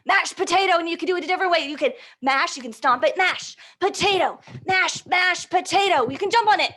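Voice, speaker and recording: A woman hosting a storytime for young children, reading and leading action rhymes in a playful, encouraging, animated way. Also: very high-pitched, fairly quick. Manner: playful, encouraging, checks in with listeners.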